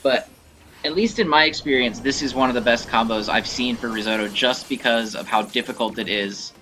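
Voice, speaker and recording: A man giving casual, rambling commentary on gameplay in an informal, animated way. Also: medium pitch, fairly quick, a little hiss or hum, poor audio. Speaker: gameplay showcase host.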